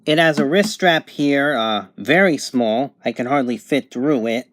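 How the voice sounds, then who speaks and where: A man giving a casual, unscripted product review at home, thinking aloud in halting phrases. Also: mid-range voice, expressive, fairly bright tone, no room sound. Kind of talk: casual unboxing and review narration. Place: home bedroom, indoors.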